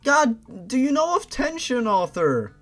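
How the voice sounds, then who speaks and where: A man's voice: very high-pitched, very animated, a little slow, fairly bright tone, faint music behind. A man hosting a fan fiction reading, narrating expressively and adding sardonic, incredulous asides.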